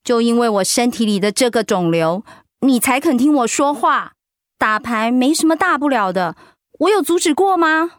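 Radio drama wife, played by a woman, high voice, plaintive and outspoken, close dry sound. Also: lively intonation, normal speed, dry recording, natural voice. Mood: bitter, reproachful. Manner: emotional, plaintive. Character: hurt, outspoken wife recovering from breast cancer. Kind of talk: audio drama acting.

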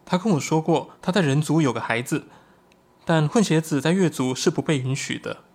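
An actor playing an earnest, excitable young shapeshifting tribesman in a Chinese audio drama, explaining things expressively. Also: on the high side, normal speed.

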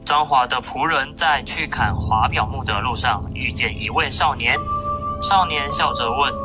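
A man's voice: medium pitch, normal speed. A young man reading scripted story narration for a radio play. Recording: music behind the narration.

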